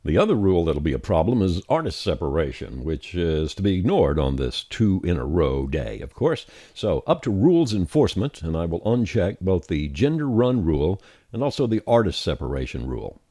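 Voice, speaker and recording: A man narrating a software tutorial step by step in a folksy, conversational way. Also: deep voice, very animated, moderate pace, no echo, clean studio sound. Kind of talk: scripted tutorial narration, explaining steps on screen.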